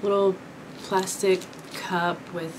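A young woman from Kentucky chatting casually while eating, her voice raspy from a sore throat, rambling with many ums. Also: on the low side, flat delivery, takes it slowly, noisy background. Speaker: vlogger. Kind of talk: casual mukbang chat. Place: small indoor room with dark curtain backdrop.

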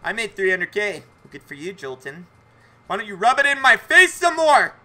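A young man streams a game in a high, bright voice. He has a lisp and talks in a lively, joking, lightly profane way, chatting with his viewers. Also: normal speed. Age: young adult. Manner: animated, joking, mildly profane. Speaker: video game live streamer. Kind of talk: casual livestream chat with viewers. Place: indoor room at home.

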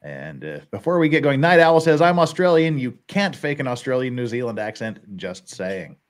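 A man's voice: medium pitch, very animated, normal speed. A middle-aged man hosting a casual livestream from a home studio, chuckling and reading chat comments aloud. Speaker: host. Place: home studio in front of a backdrop. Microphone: headset.

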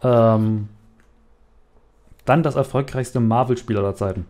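A man hosts a gaming podcast. His voice is mellow and relaxed at a middle pitch. He talks casually and haltingly, posing quiz questions to his co-hosts. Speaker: podcast host.

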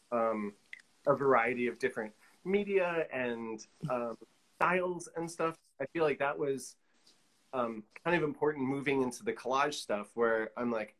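A middle-aged man talks as a guest in a casual video-call interview. His pitch is medium, and he is easygoing, working out his thoughts as he speaks. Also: fairly bright tone, rough recording. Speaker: guest, collage artist. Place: indoor studio room, video call.

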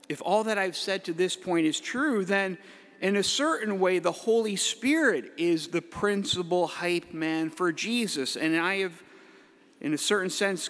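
A middle-aged man, a priest, giving a playful homily from the pulpit with the odd hype-man shout. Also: sits high. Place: large church. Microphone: pulpit mic. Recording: echoey church hall.

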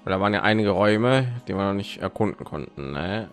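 A man chats casually over his gameplay, rambling and thinking aloud. His voice sits a little low, and there is some phlegm in his throat. Faint game music plays behind. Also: animated, fairly quick. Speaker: let's play host. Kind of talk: casual gaming commentary.

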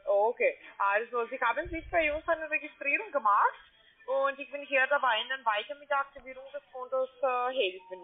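A woman's voice: high-pitched, a little fast. A woman call centre agent with a foreign accent, a non-native speaker making a sales call. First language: not German. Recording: tinny phone line, music behind. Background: music in a busy call centre.

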